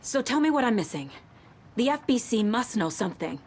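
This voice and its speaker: A woman's voice: fairly high pitch, very animated, fairly quick, darkish tone. A woman playing a determined, serious federal agent and worried mother in a video game, with an earnest, controlled delivery. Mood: troubled. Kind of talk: video game cutscene acting. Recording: light ambient background noise.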